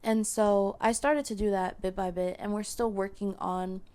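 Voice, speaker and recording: A young woman with a hoarse, failing voice, talking casually to her viewers with lots of fillers and restarts. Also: medium pitch, fairly even intonation, moderate pace, a little mellow. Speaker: content creator. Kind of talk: casual chat to camera.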